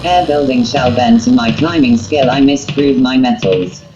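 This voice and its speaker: A woman's voice: rumbling low, moderate pace. A woman voicing an eccentric, boastful man in a fake French accent, with florid, mangled phrasing, in a story. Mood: grandly boastful. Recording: muffled lo-fi, music behind.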